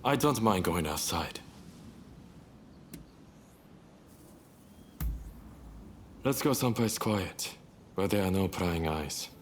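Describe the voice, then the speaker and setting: A man's voice: sits low, expressive, moderate pace, a little hiss or hum. A man playing a roguish, laid-back young revolutionary in a video game cutscene, with a teasing, nonchalant delivery. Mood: languid, dismissive.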